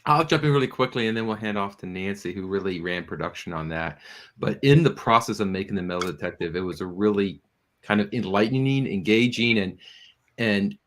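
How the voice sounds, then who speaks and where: A man speaking as a producer on a panel, telling stories in an animated, jovial, casual way. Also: on the low side, brisk.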